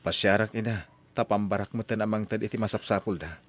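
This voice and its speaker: A man's voice: lowish voice, fairly even intonation, fast, dry recording, lightly acted. A man playing a kind, dutiful grown grandson who speaks respectfully and earnestly in an Ilocano drama. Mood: concerned. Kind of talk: Ilocano radio-style drama acting.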